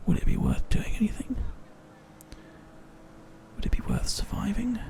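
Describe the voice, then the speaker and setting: A man whispering slowly into a binaural microphone, musing through an ASMR gameplay monologue. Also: sits high, creaky. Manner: soft unvoiced whisper, slow musing questions. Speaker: ASMR gaming host.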